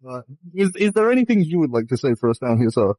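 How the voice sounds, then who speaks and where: A man's voice: mid-range voice, sing-song, quick pace, dark tone, no room sound, poor audio. A man improvising a comedy puppet show in mock showman patter, rambling and swearing crudely. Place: online VR chat session.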